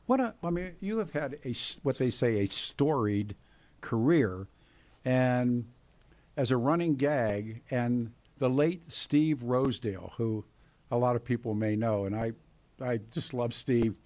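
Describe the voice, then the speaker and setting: A man's voice: medium pitch, measured pace, a little mellow. A man in his eighties with an American accent hosting a live radio interview, chatting casually in an effusive, joking, rambling way. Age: 80s. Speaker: radio talk host.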